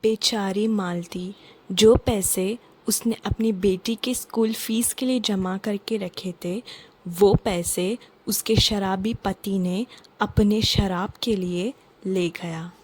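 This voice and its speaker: A woman's voice: mid-range voice, steady tone, slow, darkish tone, a little hiss or hum, rough recording. A woman narrating a scripted children's story.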